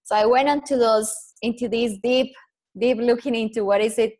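A woman's voice: on the high side, steady tone, normal speed, darkish tone. A woman from Colombia with a Colombian accent, a non-native English speaker, telling her story earnestly as a podcast guest. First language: Spanish. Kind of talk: podcast interview.

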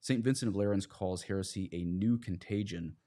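This is a man with a low voice, speaking as a priest in an earnest religious conversation. He argues with emphasis and often sets up his points as rhetorical questions. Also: a little fast, dark tone. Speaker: priest, podcast guest.

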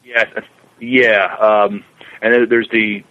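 Low male voice, dull lo-fi sound. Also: little pitch movement, slow, no echo. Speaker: podcast co-host. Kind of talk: reading news aloud and casual chat.

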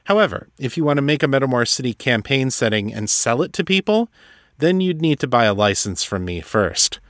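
A man hosting a solo podcast, reading and answering listener letters in an articulate, measured, explanatory way. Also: medium pitch, expressive, fairly bright tone, no echo, clean studio sound. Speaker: podcast host and novelist.

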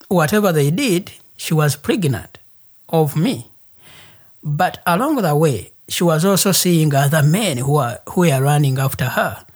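A man with a Ugandan accent telling a personal story as an interview guest, in deliberate, halting phrases. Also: a little high. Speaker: interview guest, human rights activist.

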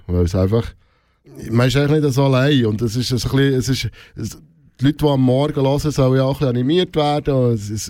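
A man with a Swiss German accent and a mellow, mid-pitched voice, recorded dry and close. He talks casually as an interviewed radio presenter, circling back and rewording as he goes. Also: no room sound. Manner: hesitant, rephrasing often, repeating words mid-sentence. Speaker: radio host being interviewed.

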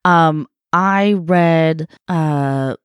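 A woman's voice: deep voice, very slow, clear, resonant tone, dry recording, studio quality. A woman co-hosting a podcast, chatting casually and laughing often, still a little phlegmy from being sick. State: congested, phlegmy from illness. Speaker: podcast co-host. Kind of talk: casual chat.

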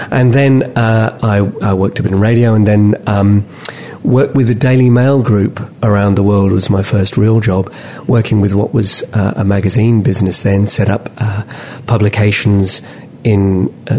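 Man from England, middle-aged, low voice, wry and relaxed, faint rumble behind. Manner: relaxed and wry, laughs easily, with frequent "uh" pauses. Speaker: podcast guest. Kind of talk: casual interview chat.

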